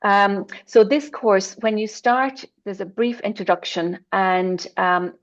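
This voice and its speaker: Woman presenter, casual ums, slightly dull call audio, mid pitch. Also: fairly even intonation, slow. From Ireland. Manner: informal, with frequent ums and apologies while sharing her screen. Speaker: adult education presenter. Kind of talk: online conference presentation.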